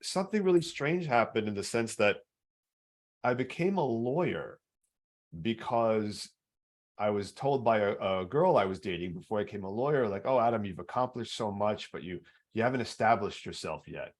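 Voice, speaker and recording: An earnest male podcast host with a low baritone voice shares a personal story. He restarts often and repeats words as he gathers his thoughts. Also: animated, moderate pace.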